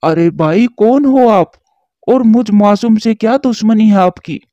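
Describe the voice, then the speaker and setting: Nervous cartoon parrot, man voicing, high, slow and pleading, dry lo-fi sound. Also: mellow, no echo. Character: timid, frightened male parrot.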